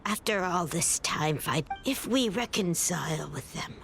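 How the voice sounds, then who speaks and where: A woman voicing a worried villager in a video game, grave, halting and beseeching. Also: fairly low pitch, animated, creaky, fairly bright tone, a little hiss or hum, exaggerated voice. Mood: pleading.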